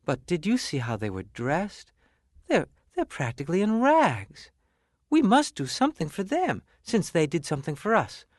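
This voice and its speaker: Man voicing a kindly storybook wife, raised animated pitch. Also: normal speed, no room sound, studio quality. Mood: concerned. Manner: earnest, caring.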